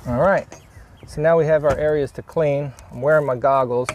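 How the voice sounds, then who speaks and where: A man's voice: a little high, fairly even intonation, normal speed, darkish tone, slight background noise. A man narrating a hands-on DIY job casually as he works, thinking aloud in short, plain, unscripted remarks. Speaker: DIY vlogger demonstrating a vehicle repair. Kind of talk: casual hands-on how-to narration while working.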